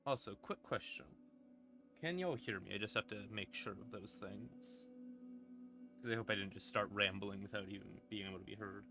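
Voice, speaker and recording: A man's voice: fairly low pitch, expressive, normal speed, gravelly. A man livestreaming as a storyteller, with a casual, self-deprecating way of talking. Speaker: streamer, storyteller. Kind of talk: livestream ramble and atmospheric second-person horror narration. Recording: ambient music behind the voice. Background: creepy ambient music.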